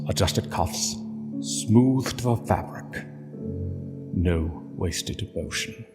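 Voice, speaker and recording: A man giving solemn scripted literary narration in a hushed, measured way, with dramatic pauses. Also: on the low side. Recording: long hall reverb, music underneath.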